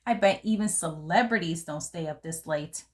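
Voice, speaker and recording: A woman voicing a fancy, dramatic little girl who loves glamour, telling her story from a children's book in an expressive, theatrical way. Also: fairly low pitch, moderate pace, pitched below the performer's own voice, natural voice. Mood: sneaky, excited. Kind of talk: first-person storybook narration for children.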